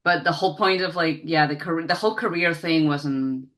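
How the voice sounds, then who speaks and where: A woman's voice: low-pitched, moderate pace, a bit bright. A woman chatting casually as an interview guest, rambling and self-deprecating. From Spain.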